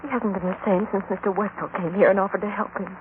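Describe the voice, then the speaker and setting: A woman's voice: medium pitch, steady tone, fast talker, close to an everyday voice. A woman playing an earnest, anxious young daughter of an inventor in an old-time radio western. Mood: worried. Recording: tinny, noisy old radio recording.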